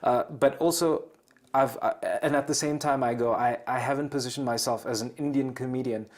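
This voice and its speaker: A man's voice: medium pitch, steady tone. A man from Zimbabwe, a stand-up comedian chatting as an interview guest, often repeating words as he restarts. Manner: rapid talk with many repeated words and restarts. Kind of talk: casual interview chat.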